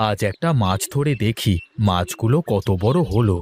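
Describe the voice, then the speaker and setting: A man acting out a homely snack seller from a children's cartoon. He is mild and a little hesitant, and his voice moves with lively, animated swings as if chatting to himself or his family. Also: on the low side, moderate pace, a little hiss or hum, close to an everyday voice. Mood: pleased. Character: mild, homely married fuchka seller who farms fish.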